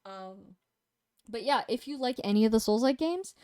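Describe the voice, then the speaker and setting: A woman's voice: a little high, expressive, normal speed, a little mellow, clear, resonant tone, dry recording, clean studio sound. A young woman co-hosting a casual game review chat, rambling with lots of fillers and swearing, a bit sniffly and losing her voice. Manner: rambling and profane, with many fillers. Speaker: co-host reviewing games.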